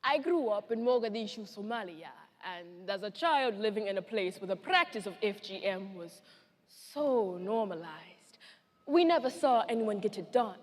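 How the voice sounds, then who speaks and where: A woman's voice: mid-range voice, lively intonation, normal speed, pitched below the performer's own voice, close to an everyday voice. A woman performing a dramatic monologue as a Somali woman survivor recounting her childhood, earnest and wounded. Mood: earnest, reflective. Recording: echoey large hall.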